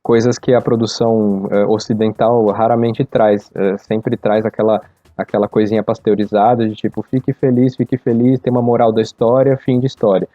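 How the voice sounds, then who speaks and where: Brazilian male podcast guest, lowish voice, earnest and reflective, muffled lo-fi sound with faint music. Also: level tone, normal speed, dark tone, no echo. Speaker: podcast participant. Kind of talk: casual spoken recommendation. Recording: slightly dull, lo-fi microphone sound.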